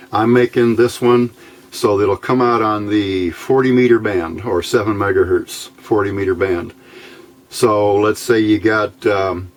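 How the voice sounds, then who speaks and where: A man with a fairly low voice walks through a hands-on hobby build. His delivery is informal and plainspoken. He thinks aloud in a folksy way, like an electronics tinkerer showing his work.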